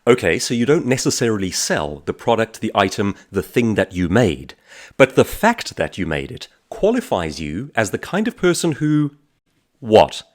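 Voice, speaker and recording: A man presenting a motivational business talk in an articulate manner, often posing questions to the listener. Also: fairly low pitch. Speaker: host, author and speaker.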